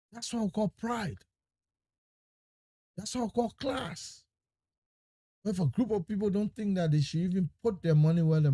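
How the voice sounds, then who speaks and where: A man rants about politics with high pitch and a very dark, mellow tone. He keeps repeating his points, and his voice swings from loud to quiet. The sound is dry and close. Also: no echo. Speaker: political commentator. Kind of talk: impassioned political monologue.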